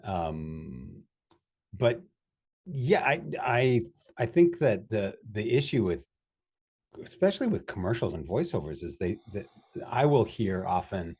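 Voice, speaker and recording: Wisconsin guy hosting a chat, kinda low voice, upbeat and lively. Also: unhurried, slightly rough. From Wisconsin, USA. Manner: upbeat, animated introductions. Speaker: host. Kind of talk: casual chat.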